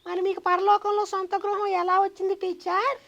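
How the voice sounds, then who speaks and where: Squeaky, creaky little boy puppet voiced by a woman. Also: flat delivery, moderate pace, fairly bright tone, muffled recording, exaggerated voice. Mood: teasing, pestering. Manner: childlike, pestering, cheeky questioning. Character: cheeky young boy puppet, naive, teasing questioner.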